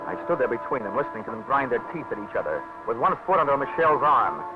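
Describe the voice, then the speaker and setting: A hard-boiled investigator tells his case with dry wisecracks in an even, mid-pitched voice, as an actor plays him. The sound is thin and tinny, and music plays beneath. It has the feel of an old radio crime drama. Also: fast, close to an everyday voice. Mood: wry. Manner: dry, wisecracking first-person narration. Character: wry insurance investigator man, hard-boiled narrator. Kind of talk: 1940s radio acting.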